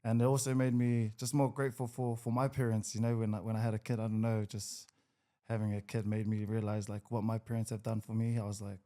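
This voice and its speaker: A man in his twenties with a New Zealand accent, a podcast guest who talks in an easygoing, hesitant way with many pauses. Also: lowish voice, little pitch movement, mellow. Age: 20s. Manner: easygoing, hesitant with many ums, laughs a lot. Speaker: guest, rugby league player. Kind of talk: casual podcast interview.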